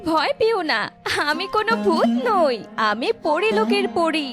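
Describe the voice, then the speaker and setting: A woman voicing a kind, grateful magical forest fairy in a cartoon, with a gentle storybook delivery. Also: very high voice, animated, a little slow, music in the background. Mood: reassuring. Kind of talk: cartoon character voice acting.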